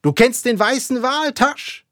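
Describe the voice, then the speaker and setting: A man voicing a fanatical, commanding whaling captain in a loud, declamatory storybook reading. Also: a little high, big pitch swings, takes it slowly, no room sound, clean studio sound, voice pushed up. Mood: wild excitement. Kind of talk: dramatic audiobook character reading.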